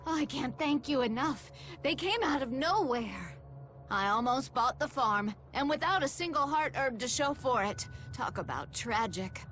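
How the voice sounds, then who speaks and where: A woman's voice: sits high, lively intonation, unhurried, a bit bright, a little hiss or hum. An actress playing an outspoken, easily indignant married townswoman in a video game, with a blunt, dramatic way of talking. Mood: flustered, relieved. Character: indignant, outspoken married woman gathering herbs. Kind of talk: video game dialogue acting.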